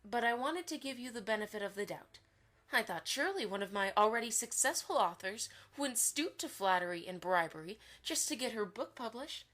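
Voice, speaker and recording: A woman's voice: on the high side, lively intonation, a little fast, a bit bright. A woman playing a shrewd, haughty pony publisher in an audio drama, speaking in a pointed, reproving way. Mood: stern, disappointed.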